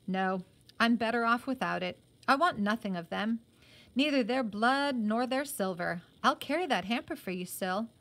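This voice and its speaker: A woman's voice: a little high, animated, moderate pace, fairly bright tone. A woman voicing a solemn, resolute orphaned teenage boy in a storybook, speaking in an earnest, declarative way.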